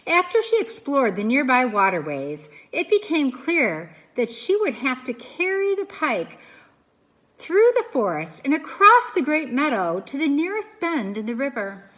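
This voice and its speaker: A woman reading a children's book aloud as a teacher would, with expressive narration, dramatic pauses and questions for young listeners. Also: sits high.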